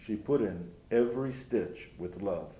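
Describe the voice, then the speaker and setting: A man's voice: fairly low pitch, a little slow, warm tone, close to an everyday voice. An actor playing a grieving older grandfather who speaks in a folksy, rueful way in a dramatic monologue. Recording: roomy echo.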